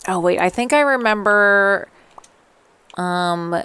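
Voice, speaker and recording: A woman's voice: medium pitch, takes it slowly, a bit bright, clean tone, dry recording. A woman hosting a let's play with casual, playful commentary, chatting and reacting aloud.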